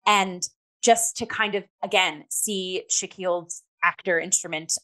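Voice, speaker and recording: A woman's voice: lowish voice, a little slow, bright, forceful tone, poor audio. A young woman podcast host giving a casual theatre review in an effusive, emphatic, conversational way, with "like" sprinkled through her sentences.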